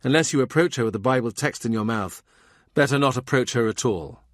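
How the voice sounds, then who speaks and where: A man reading a historical novel as an audiobook narrator, in a measured, understated literary style. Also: medium pitch, no echo, clean studio sound.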